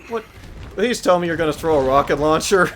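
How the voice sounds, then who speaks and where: A man streaming a video game, reacting loudly, swearing and laughing as he plays. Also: a little high, lively intonation, brisk, slight background noise. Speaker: gamer streaming a playthrough. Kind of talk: live gameplay commentary.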